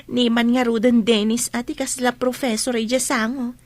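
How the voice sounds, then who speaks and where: A woman playing a chatty young student classmate in a radio drama, eager and expressive. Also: a little high, measured pace, natural voice. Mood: teasing. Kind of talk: Ilocano radio drama acting.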